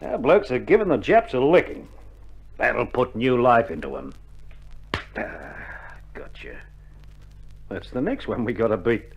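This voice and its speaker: An actor playing a weary but hopeful, stoical Australian army medic in a dramatised wartime radio play, with a laconic, slangy delivery. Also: male voice, mid-range voice, very animated, a little slow, long pauses, slightly rough, full character voice. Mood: rallying. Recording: muffled 1960s vinyl record, music behind.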